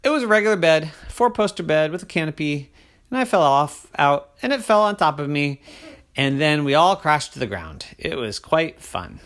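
A man's voice: on the high side, big pitch swings, normal speed, fairly bright tone, pitched above the performer's own voice. A man voicing a friendly, goofy male dragon who rambles and trips over his words in a bedtime story. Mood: chatty.

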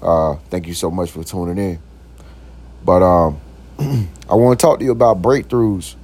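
A man's voice: moderate pace, a little mellow, breathy edge. A young man hosting his own podcast, talking loosely with lots of slang and "you know what I'm saying", joking around as he goes. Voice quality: voice recently got deeper. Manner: jokes around, puts on silly voices. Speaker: podcast host. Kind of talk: casual motivational talk.

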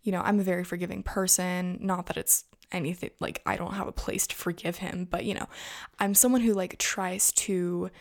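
A young woman with a raspy voice today, chatting casually to her viewers about influencer news and peppering her talk with "like" and "you know". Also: fairly low pitch, flat delivery, normal speed. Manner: chatty, filler-heavy, frequent "like" and "you know". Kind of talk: casual gossip commentary to viewers.

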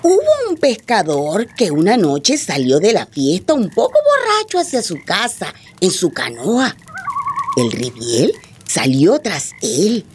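A woman playing a Colombian grandmother telling spooky village legends to children, in a suspenseful, expressive way, for a radio play. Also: medium pitch, slight background noise. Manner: slow, suspenseful, expressive storytelling. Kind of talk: theatrical radio storytelling. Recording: music behind the voice.

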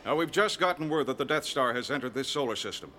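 A man playing a commanding rebel general in a radio drama, briefing pilots in a formal, deliberate, emphatic way. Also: mid-range voice, animated, bright, forceful tone. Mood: urgent, rousing.

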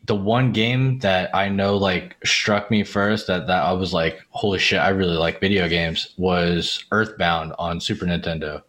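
Low-voiced man chatting casually, swearing freely. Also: fairly bright tone. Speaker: podcast guest. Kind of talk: casual chat. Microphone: video call.

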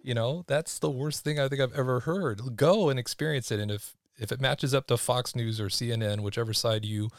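A man's voice: mid-range voice, a little fast, few pauses, no room sound, studio quality. A man in his forties hosting a podcast, chatting casually and animatedly, acting out what other people say. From United States. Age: 40s. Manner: animated, quotes people in lively voices. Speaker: podcast host.

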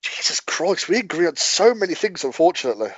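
A chatty man from Birmingham talks over a video call, a touch higher than most men. He rambles through anecdotes and laughs easily. The call audio is dull and lo-fi, with a dry, close sound. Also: sing-song, fast talker, slightly rough, no room sound. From Birmingham, England. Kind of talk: casual chat.

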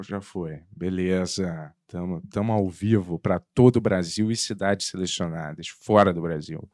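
A lower-pitched man co-hosting a live podcast, trading jokes and teasing his co-host in easy banter. His voice sits close and dry on a studio mic, with no room sound. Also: a little mellow, no room sound. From Portugal. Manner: joking, teasing, playful. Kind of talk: joking live podcast chat. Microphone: studio microphone.

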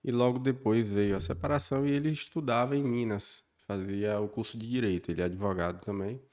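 A man's voice: lowish voice, fairly quick. A man in his forties from Bahia with a Bahian accent, a podcast guest telling his life story in an earnest way. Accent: Bahian Brazilian Portuguese. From Bahia, Brazil. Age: 40s. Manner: warm and earnest, frequent tag questions. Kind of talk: casual interview storytelling.

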